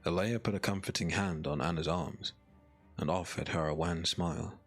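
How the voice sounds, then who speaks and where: A man narrating a scripted dark fantasy audiobook in a measured, literary storytelling manner. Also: deep voice, fairly even intonation, faint music behind.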